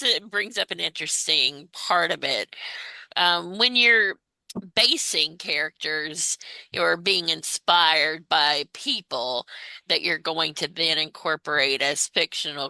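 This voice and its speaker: Slow, bright-voiced woman interviewer. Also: low voice, no echo, poor audio. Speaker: interviewer, host. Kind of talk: thoughtful conversational interview.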